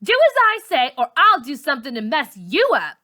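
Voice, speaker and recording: A woman storyteller puts on a mean schoolgirl bully, high-pitched and hard-edged. She is bossy and taunting, throwing out threats with loud force, as in a dramatic storybook reading. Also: wildly swooping pitch, moderate pace, heavily acted. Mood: angry, threatening. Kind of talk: dramatic audiobook character voice.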